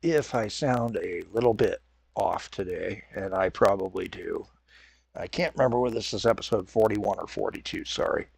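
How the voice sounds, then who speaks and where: A man's voice: fairly low pitch, moderate pace, vocal fry, slightly rough, dry recording. A man hosting a casual game commentary, stuffed up from a head cold with a raw throat, rambling and correcting himself as he plays. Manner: rambling, self-correcting, apologetic. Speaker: gaming channel host. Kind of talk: casual let's-play commentary.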